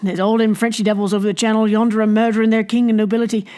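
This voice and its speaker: A woman's voice: on the high side, flat delivery, very fast, mellow, clean tone, natural voice. A woman voicing a good-humoured male innkeeper with a rural English dialect, talking in a hearty, emphatic way. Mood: indignant.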